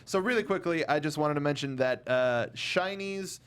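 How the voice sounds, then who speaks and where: A man hosting a casual panel chat, rambling through animated asides in stop-start phrases. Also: fairly high pitch, normal speed, clear, resonant tone. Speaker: talk show host.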